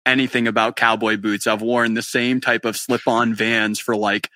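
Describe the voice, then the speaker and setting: A man's voice: lowish voice, level tone, normal speed, bright, forceful tone. A man from New England hosting a podcast interview, talking in a chummy, upbeat, loose way. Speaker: podcast host. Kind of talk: casual live-stream interview chat.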